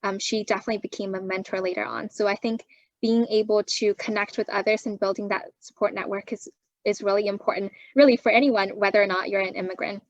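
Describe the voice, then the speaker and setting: A young woman from China, a native Chinese speaker, answering interview questions in a measured, thoughtful way with frequent pauses. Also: medium pitch, steady tone, no echo, muffled recording. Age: young adult. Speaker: guest.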